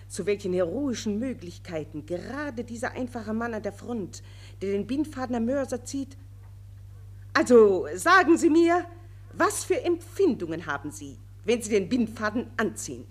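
Gushing woman war correspondent, old German radio drama; very animated, slightly husky, high-flown and pressing. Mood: insistent, exasperated. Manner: theatrical, high-flown, insistent. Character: pushy, gushing female war correspondent interrogating a soldier. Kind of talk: 1940s German radio play acting.

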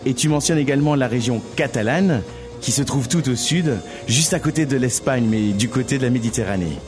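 Host, male, quick talker, mid-pitched, music playing behind. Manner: brisk, asks questions. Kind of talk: hosting a discussion.

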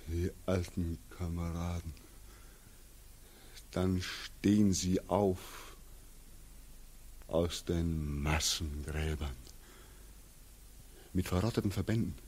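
A man's voice: sits low, exaggerated voice. An actor playing a tormented returning soldier in a 1940s radio drama, theatrical and obsessive as he recounts a nightmare. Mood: haunted, brooding. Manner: theatrical, obsessive, swinging from hushed murmurs to frenzied cries. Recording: muffled, husky 1940s radio recording.

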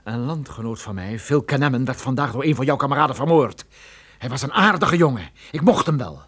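A tough male private detective in a radio play. He is sardonic and threatening, with a medium-pitched voice that rises and falls a great deal. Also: animated, fairly quick, a bit bright, breathy edge. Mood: commanding, threatening. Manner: menacing, dryly sarcastic. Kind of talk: radio drama acting with first-person narration.

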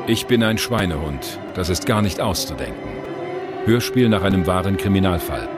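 Deep-voiced male radio announcer over loud music. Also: sing-song, moderate pace. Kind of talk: scripted title announcement.

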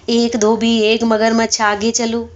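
A woman voicing a feeble, foolish old male clerk who is hard of hearing, slowly querying and repeating things in a story. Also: extremely high pitch, monotone, muffled recording, voice pushed up. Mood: muddled, dismissive. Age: elderly. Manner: slow-witted, querying and repeating what was heard.

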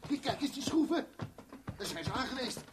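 Very high-pitched, flustered henchman. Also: sing-song, a little fast. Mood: excited, urgent. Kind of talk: 1970s Dutch radio drama acting. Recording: noisy old 1970s radio recording.

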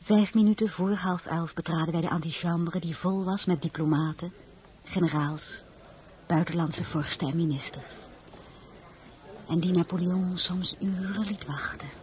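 An actress playing a gracious royal wife and mother in a radio drama, poised and gently wry. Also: on the low side, steady tone, unhurried, lightly acted. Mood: composed. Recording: background noise with outdoor birdsong.

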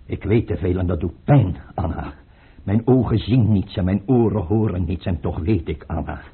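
An actor playing a tormented, paranoid Russian tsar in a theatrical radio play, agitated, imperious and repeating himself. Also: male voice, low-pitched, moderate pace, breathy edge, heavily acted. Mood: anxious. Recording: muffled, narrowband old radio play recording.

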